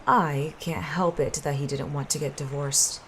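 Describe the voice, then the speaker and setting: A woman acts out a cold, murderous female schemer in an audio drama. Her very low voice stays calm and commanding as she persuades. Faint watery noise sits behind it. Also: moderate pace.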